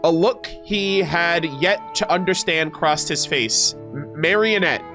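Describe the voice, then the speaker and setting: High-voiced male streamer reading aloud over music. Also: takes it slowly. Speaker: streamer reacting to game lore. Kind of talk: reading story text aloud with casual asides. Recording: music playing behind the voice. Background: background music.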